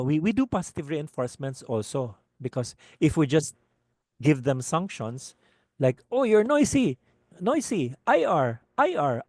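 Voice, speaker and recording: A man with a Filipino accent and a low voice, giving a webinar lecture to teachers and often checking in with "okay?". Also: no room sound. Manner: animated, repeats "okay?" often. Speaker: teacher trainer.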